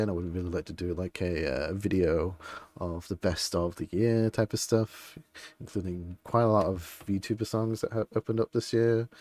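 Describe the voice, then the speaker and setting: A man chatting casually while livestreaming a game, in a rambling, self-interrupting, low-key way. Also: no echo. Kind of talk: casual stream chat. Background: soft game music.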